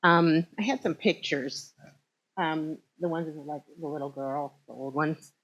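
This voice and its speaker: Woman, sixties, low pitch, informal congregation talk, conversational, discursive. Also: fairly even intonation, unhurried, muffled recording. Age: 60s. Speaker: congregation speaker.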